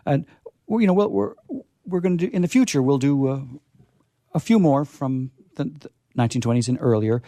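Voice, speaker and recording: A man with a middling pitch chats as the host of a radio theatre show. He talks casually and haltingly, often repeating words, in a dry, close sound. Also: warm tone, no room sound. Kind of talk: casual, chatty show hosting.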